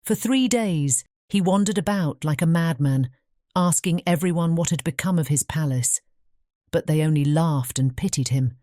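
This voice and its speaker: A woman reads storybook narration in a notably low register. Her tone is mellow and rounded, suited to a scripted fairy-tale audiobook. The recording is close, with no audible room. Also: moderate pace, dry recording.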